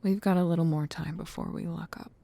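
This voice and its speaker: A woman playing a gentle, nostalgic childhood friend in an ASMR roleplay, speaking softly and breathily, tender and unhurried. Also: on the low side, steady tone. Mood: gently reassuring. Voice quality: soft, breathy near-whisper with creaky fry. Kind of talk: ASMR roleplay, soft intimate talk.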